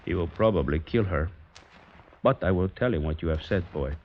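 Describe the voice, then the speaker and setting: A man's voice: sits low, expressive, normal speed. An actor playing a sardonic, menacing guitar player who taunts his rival in a 1950s radio drama, mocking and cold. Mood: taunting. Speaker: emissary of the kidnappers. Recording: dull old radio broadcast recording.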